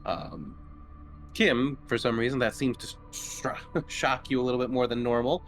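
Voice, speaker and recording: A man running a tabletop horror game, narrating casually and haltingly as he feels out each description. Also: medium pitch, fairly bright tone, soft background music. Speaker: game master.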